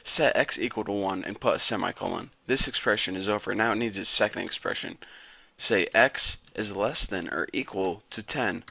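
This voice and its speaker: A raspy-voiced young man casually teaching a programming lesson to viewers. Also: on the low side, sharp tone. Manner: casual, chatty, talks to viewers as guys. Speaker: programming tutor. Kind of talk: casual screen-recorded coding tutorial.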